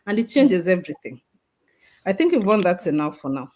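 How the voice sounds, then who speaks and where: A woman's voice: fairly low pitch, very animated, fairly quick, warm tone. A woman guest on a video call, speaking earnestly and emphatically, like giving testimony. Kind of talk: motivational testimony.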